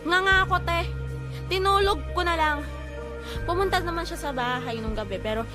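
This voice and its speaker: A woman acts out a hurt young woman in an audio drama, with a very high voice. She talks casually, as if confiding in close friends about love. Loud music plays under her. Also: moderate pace. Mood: dejected, defensive. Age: young adult.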